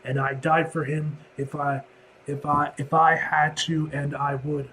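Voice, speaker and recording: A man voicing a fiercely loyal, grim, plain-spoken enforcer from a comic book, in an earnest, halting delivery. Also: fairly high pitch, little pitch movement, sharp tone, a little hiss or hum, rough recording. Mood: solemn.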